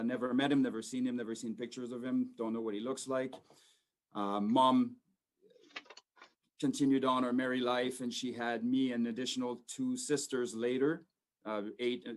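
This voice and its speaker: A man in his forties from Quebec, a police sergeant major giving an informal talk to students over a video call, rambling with many fillers and restarts. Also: mid-range voice. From Quebec, Canada. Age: 40s. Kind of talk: informal talk to a school audience.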